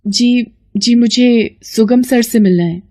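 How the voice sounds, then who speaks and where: A woman voicing an earnest young woman in an animated moral story, timid and eager, sometimes stumbling over words. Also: a little high, takes it slowly. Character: earnest, pleading young woman dreaming of modelling.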